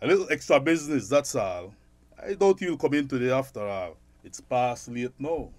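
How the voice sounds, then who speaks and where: A man's voice: medium pitch, animated, moderate pace, darkish tone, no room sound, natural voice. A man acting in a radio drama as a stern, scolding middle-aged shopkeeper father with a Belizean Creole accent. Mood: secretive, irritated.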